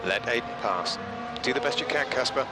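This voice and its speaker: A man playing a composed race engineer giving brisk, factual instructions in a video game. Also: medium pitch, over loud music, lightly acted. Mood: urgent, composed. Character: composed male race engineer on team radio. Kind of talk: cinematic video game acting. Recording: loud car and track noise.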